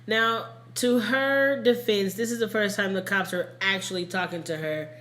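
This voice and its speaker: A woman's voice: medium pitch, steady tone, normal speed, a bit bright. A woman hosting a true crime podcast, telling a story in a casual, informal, emphatic way and repeating points for emphasis. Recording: echoey room, casual podcast recording.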